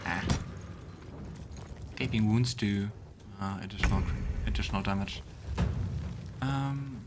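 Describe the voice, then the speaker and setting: A man's voice: fairly low pitch, takes it slowly, very noisy. A man hosting a let's play, giving casual gameplay commentary as he thinks aloud. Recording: loud game music behind voice. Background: loud video game music.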